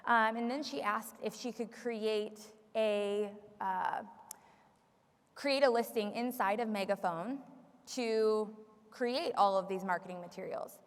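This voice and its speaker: A woman leading a live software tutorial as a trainer, explaining step by step to a room. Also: mid-range voice, takes it slowly. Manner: explanatory, repeats audience questions for the room. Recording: long echoey room reverb.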